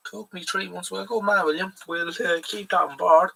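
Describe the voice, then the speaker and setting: A man's voice: sits high, steady tone, moderate pace, gravelly, bright, forceful tone, husky. An Irish man hosting a live stream, chatting in a rambling, casual way and swearing freely. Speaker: livestream host. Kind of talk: casual livestream chat. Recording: voice a bit far from the mic.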